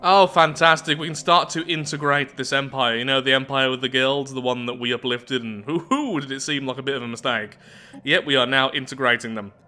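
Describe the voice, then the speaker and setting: A man with a mid-pitched, bright voice hosts a game playthrough. He moves between reading story text aloud and chatting to himself about what happens. Faint music plays underneath. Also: a little fast. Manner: talks to himself, reacts aloud to events. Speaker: gaming video host. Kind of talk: game playthrough commentary mixing read-aloud event text with casual asides.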